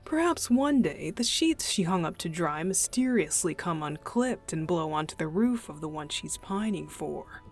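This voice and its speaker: A woman voicing a playful, mischievous young male deacon in an unhurried, teasing ASMR roleplay style. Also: lowish voice, animated, soft background music. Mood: sly, musing. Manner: teasing, unhurried, chuckling, breathy asides.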